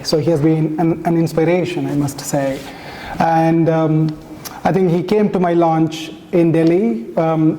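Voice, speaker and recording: A man whose first language is Tamil, giving an informal, halting speech as the author at a book launch, with many restarts and fillers. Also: on the high side, dark tone.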